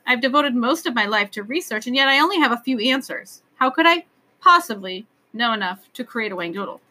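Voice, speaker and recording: Lady doing a male storybook professor, flustered, apologetic, pleading, bright high-ish voice. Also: expressive, fairly quick. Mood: exasperated, pleading. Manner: hesitant, pleading, explanatory.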